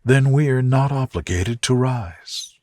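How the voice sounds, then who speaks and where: A man voicing a tender, thoughtful young gentlewoman in love, murmuring softly for a romantic bedtime story. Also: mid-range voice, takes it slowly, no echo, clean studio sound, voice pushed up, close to an everyday voice. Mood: sleepy, soft.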